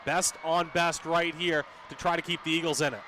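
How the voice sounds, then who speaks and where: A man with a high-pitched voice provides color commentary on live sports radio. He breaks down the play in brief, clipped analytical phrases. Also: little pitch movement, normal speed, sharp tone, a little hiss or hum, low-quality recording.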